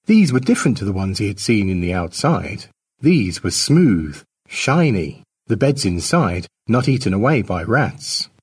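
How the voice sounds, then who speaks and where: A man narrates a scripted story in a lowish voice that is very husky and rough. His pitch moves widely from phrase to phrase, in the manner of an author reading fiction aloud. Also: sing-song, measured pace.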